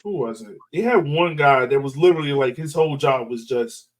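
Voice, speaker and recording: A man hosts a casual sports chat in informal, slangy language. His voice has a medium pitch and sits back from the microphone, sounding a little distant. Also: expressive, fairly quick. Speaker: podcast host.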